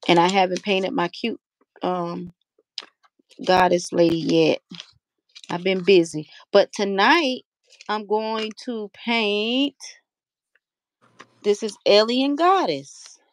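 Gravelly-voiced woman hosting a livestream. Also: medium pitch, slow pace, long pauses. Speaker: livestream host. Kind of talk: casual livestream chat. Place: home room, indoors.

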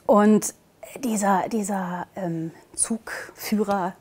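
A woman's voice: medium pitch, steady tone, drawn-out words, a little mellow, breathy edge. A woman in her thirties from Portugal, talking casually and chattily about herself as an actress introducing herself to camera. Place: indoor studio with dark backdrop. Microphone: lapel mic.

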